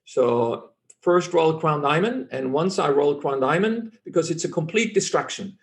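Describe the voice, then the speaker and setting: Guest spot: middle-aged man, German native speaking English, slightly high voice, confident and earnest, restarts sentences. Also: expressive, measured pace, gravelly. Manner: earnest, self-assured, restarts phrases often. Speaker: physician guest.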